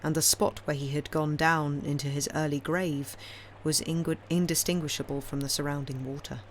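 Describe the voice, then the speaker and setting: A woman's voice: low-pitched, fairly even intonation, moderate pace. Woman narrating literature over noticeable noise. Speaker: audiobook reader.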